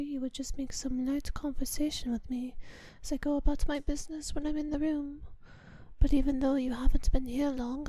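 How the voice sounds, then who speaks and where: Woman playing a soft, high-voiced genteel vampire mistress. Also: dry recording, lightly acted. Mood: affectionate, composed. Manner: soft, breathy, often whispered, unhurried endearments; refined, formal diction. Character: kind, genteel vampire mistress, doting and quietly commanding. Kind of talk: intimate ASMR roleplay addressed to the listener. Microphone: close microphone in a dry space.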